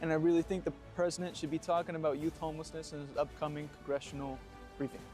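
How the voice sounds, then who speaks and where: A man's voice: on the high side, flat delivery, quick pace, a little mellow, faint music behind. A male doctoral student from East Tennessee gives a short recorded comment. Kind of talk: recorded vox-pop comment.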